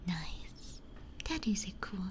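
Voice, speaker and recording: A woman whispering softly and unhurriedly in casual ASMR chat, musing in short phrases close to a binaural microphone. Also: medium pitch, very animated, slow. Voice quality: breathy whisper. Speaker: ASMR livestreamer. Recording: close-miked with faint background noise.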